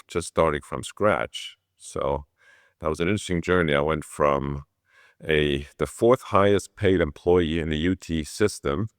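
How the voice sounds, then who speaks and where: A man's voice: deep voice, dry recording, clean studio sound. A middle-aged man from Switzerland telling his own story conversationally as an interview guest. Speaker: interview guest, orthopedic surgeon.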